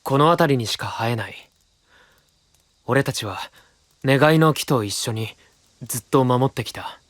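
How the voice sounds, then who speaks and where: A man playing a sincere, patient young knight leader, speaking gently and plainly with a husky voice in an anime audio drama. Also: medium pitch, unhurried, dry recording, lightly acted. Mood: calm. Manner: gentle, earnest, plain-spoken.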